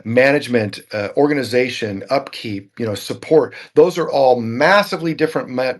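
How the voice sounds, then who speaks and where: A man hosting a casual tech talk show, talking in a rambling way and often repeating words as he thinks. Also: medium pitch. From Norwegian family background. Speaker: show host.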